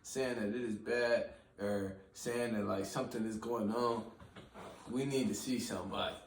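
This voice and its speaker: A man's voice: on the low side, fairly even intonation, moderate pace. A man in his early twenties chatting casually as a podcast host, peppering his talk with slang and "bro". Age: 20s. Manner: slangy, says bro constantly. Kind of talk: casual chat. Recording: echoey room from far off with faint hiss.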